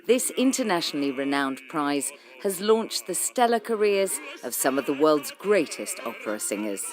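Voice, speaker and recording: A woman presenting a radio arts programme, reading scripted narration in a measured, expressive way. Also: low-pitched, soft background music.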